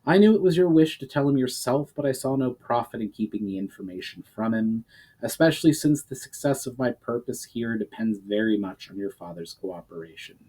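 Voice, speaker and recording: A man's voice: mid-range voice, fairly quick, warm tone, slightly rough, lightly acted, poor audio. A man playing a composed, courteous elf. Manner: formal, apologetic, reasoned. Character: courteous, composed male elf prisoner, pragmatic.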